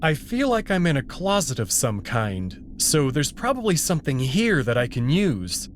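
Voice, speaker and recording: A man's voice: on the high side, very animated, moderate pace. A man narrating a story in the first person in a dramatic style, with faint noise in the recording.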